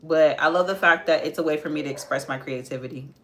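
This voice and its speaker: Low-voiced young woman chatting through makeup. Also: fast talker, slight background noise. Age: 20s. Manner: chatty, answers viewer comments while working, repeats phrases. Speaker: makeup livestream host. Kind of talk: casual live makeup tutorial, chatting with viewers.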